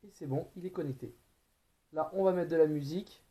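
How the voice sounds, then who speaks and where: A man's voice: a little high, fairly quick, dark tone, slightly rough. A man presenting a product in a casual hands-on tutorial, informal and thinking aloud as he goes.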